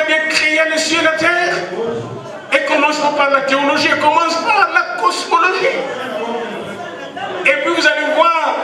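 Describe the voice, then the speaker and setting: A high-pitched Congolese man addresses a ceremony in a booming, echoey hall, heard from well back from the mic. He preaches emphatically, climbing into excited exclamations, while a crowd murmurs around him. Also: far from the mic. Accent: Congolese French. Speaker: university rector speaking at a graduation. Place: large assembly hall in Kinshasa. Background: audience in the hall.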